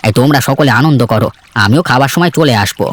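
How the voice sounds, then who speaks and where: A man voicing an older, kindly, generous village boatman in a cartoon, avuncular and gently insistent. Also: mid-range voice, fast talker, close to an everyday voice. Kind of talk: cartoon story dialogue.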